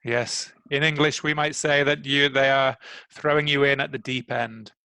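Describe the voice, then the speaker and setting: A man's voice: mid-range voice, fairly even intonation, normal speed, sharp tone, smooth, dry recording. A man coaching a student for a job interview in English on a video call, speaking patiently and encouragingly. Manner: patient, encouraging, carefully paced explanations. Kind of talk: tutoring conversation.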